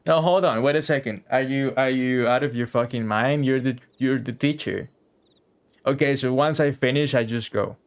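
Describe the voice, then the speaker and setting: A man giving casual live commentary as a game streamer, reacting out loud and swearing freely. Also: medium pitch, moderate pace. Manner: profane, reactive, talks to game and viewers. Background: game music.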